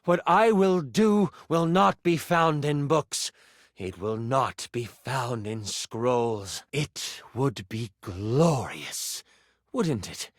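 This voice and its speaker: Video game villainess, acted by a man: power-hungry enchantress, theatrical and drawn-out, menacing, very mobile pitch, dry close sound. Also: animated, dry recording. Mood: grandiose menace. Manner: monologuing, grandiose.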